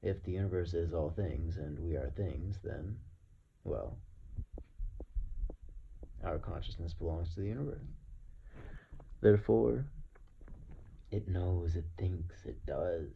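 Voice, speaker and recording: A man with a very low, gravelly voice speaks slowly and deliberately in a reflective monologue. He leaves long pauses and often sinks into a breathy murmur. The recording is dull, with faint noise underneath. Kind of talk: slow reflective philosophical monologue.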